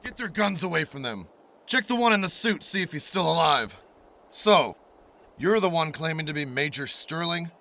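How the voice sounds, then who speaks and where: A man playing a wary, authoritative military captain in a post-apocalyptic audio drama, briskly giving orders. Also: a little high, expressive, bright, forceful tone. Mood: stern, commanding.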